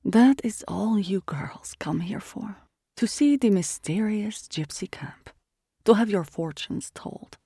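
Scornful young forest fortune-teller woman, acted by the reader, husky and gravelly, pitched higher and whispered. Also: expressive, slow, warm tone, dry recording, clean studio sound, exaggerated voice. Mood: taunting. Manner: scornful, taunting, drops to a raspy whisper.

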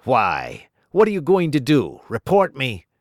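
A man's voice: medium pitch, very animated, unhurried, darkish tone, no echo, clean studio sound, voice pushed up. A man voicing a shifty, lazy police officer, wheedling and mocking. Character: shifty lazy male cop, cajoling.

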